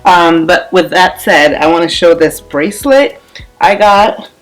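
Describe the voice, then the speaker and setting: A woman's voice: lowish voice, measured pace, faint music behind, poor audio. A woman in her late twenties vlogging casually, rambling and repeating herself with lots of fillers. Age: 20s. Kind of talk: casual chat while doing her hair.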